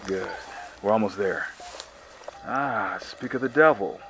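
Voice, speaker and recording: An actor playing a ruthless, pragmatic enforcer in a sci-fi horror audio drama, wry and coldly mocking. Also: male voice, on the low side, slow, over music.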